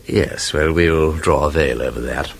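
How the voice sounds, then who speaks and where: An actor playing an urbane, composed gentleman detective with an upper-class English accent, polite and understated, in a radio drama. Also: a bass voice, unhurried, gravelly, heavily acted. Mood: coolly probing.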